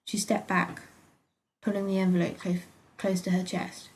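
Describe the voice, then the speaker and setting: A woman with a British accent reading her own story aloud as an expressive first-person narrator. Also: mid-range voice, normal speed, slight background noise.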